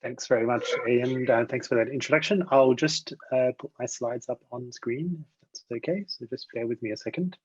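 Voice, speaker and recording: A man's voice: medium pitch, normal speed, breathy edge, no echo. An Australian man giving an easygoing webinar presentation over a video call, with a light self-deprecating manner. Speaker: invited speaker. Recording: dry, dull lo-fi video call.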